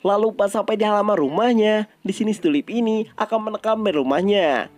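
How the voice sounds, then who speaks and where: High-pitched Indonesian man narrating casually. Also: brisk, darkish tone, dry recording. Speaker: film recap narrator.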